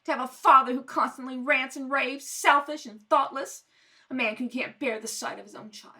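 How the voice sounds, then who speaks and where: A woman's voice: high voice, fairly even intonation, normal speed, sharp tone. A woman playing a melodramatic, aggrieved wife in an old-time radio comedy read-through, nagging, needling and wheedling. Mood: self-pitying, melodramatic. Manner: nagging, wheedling, dramatically self-pitying. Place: kitchen at home.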